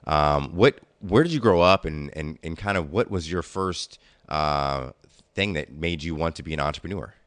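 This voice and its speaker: Deep-voiced male podcast host. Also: expressive, a bit bright, dry recording. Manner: scripted intro, then halting questions. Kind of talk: podcast hosting and interviewing.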